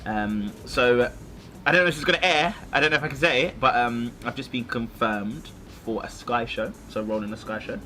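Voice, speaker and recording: A young man from London who grew up in Norwich, chatting casually as a guest, jokey and animated with frequent laughs. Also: medium pitch, fairly quick, faint music behind. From London and Norwich, England. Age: young adult. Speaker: actor and social media creator, guest. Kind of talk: casual chat.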